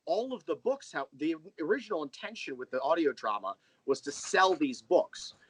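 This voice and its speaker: A man's voice: on the high side, big pitch swings, moderate pace, slight background noise, rough recording. An American man chatting casually as an interview guest, candid and self-deprecating in a rambling way. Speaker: interview guest, audio drama creator.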